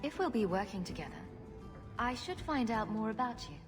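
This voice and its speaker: A quippy young game heroine, with a mid-range, lively voice. She is dryly humorous, in long reverb with music behind. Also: a little high, a little fast. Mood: wry. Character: quippy, sarcastic young female rogue hero. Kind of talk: video game character dialogue.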